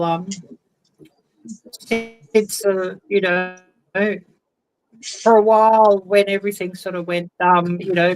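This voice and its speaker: A woman's voice: on the low side, no room sound, poor audio. A woman talking over a video call as a guest, hesitant and full of ums. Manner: hesitant, many ums, soft trailing. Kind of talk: casual video call chat.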